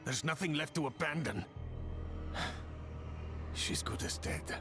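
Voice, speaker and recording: A man playing a bitter, defeated soldier in a dark fantasy game, speaking with weary resignation. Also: fairly high pitch, animated, slow pace, creaky, music in the background, heavily acted. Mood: bitter, resigned. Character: defeated, bitter soldier who has given up.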